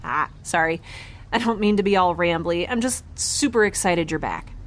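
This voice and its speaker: A woman playing an excitable, awkward, optimistic young space traveler in a science fiction audio drama, rambling in informal speech. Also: lowish voice, normal speed, slight background noise, close to an everyday voice. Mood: excited, awkward.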